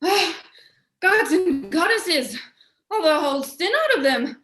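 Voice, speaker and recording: Bright, echoing woman playing indignant soldier. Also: extremely high pitch, animated, slow, a little hiss or hum, exaggerated voice. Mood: disgusted. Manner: declamatory, scornful. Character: indignant, disgusted male soldier deserting his general. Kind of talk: Shakespearean stage acting in a radio play. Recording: roomy, echoing space.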